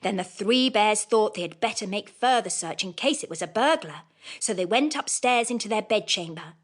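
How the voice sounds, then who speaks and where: A woman reads classic bedtime tales for children as an audiobook narrator. Her voice sits at a middle pitch and moves up and down a great deal, with expressive storytelling phrasing. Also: normal speed.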